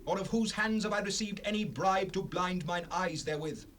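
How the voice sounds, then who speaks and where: High-voiced old prophet, distant. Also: male voice, normal speed, a bit bright. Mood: impassioned. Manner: solemn, declamatory, formal. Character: old grey-headed prophet, stern, righteous leader addressing his people. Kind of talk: dramatized scripture oratory. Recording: noisy cassette rip, distant voice.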